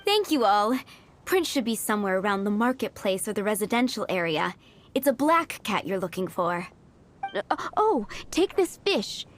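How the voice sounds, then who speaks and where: A woman's voice: mid-range voice, sing-song, moderate pace, lightly acted. A woman voicing a fretful, doting young cat owner who speaks earnestly and emotionally.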